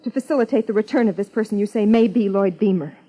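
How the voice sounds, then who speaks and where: A woman's voice: medium pitch, quick pace, mellow, lightly acted. An American woman playing a bitter, guarded wife of a missing man in 1950s radio drama, speaking formally and evasively. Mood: bitter, defiant. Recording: muffled 1950s radio broadcast recording.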